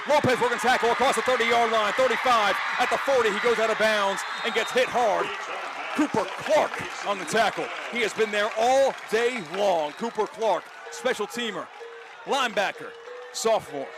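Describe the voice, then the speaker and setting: High-pitched, excitable male football announcer. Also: normal speed. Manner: excitable, projected, emphatic announcer delivery. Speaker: play-by-play sports announcer. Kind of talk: live high school football radio play-by-play, with sponsor reads. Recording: stadium crowd noise behind broadcast commentary. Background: loud outdoor stadium crowd and ambience.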